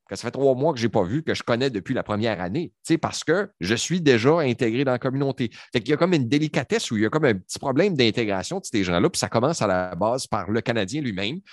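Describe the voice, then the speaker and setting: A man's voice: medium pitch, dry recording, studio quality. A man, giving his opinions on a panel in a rambling, emphatic way with many fillers. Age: 40s.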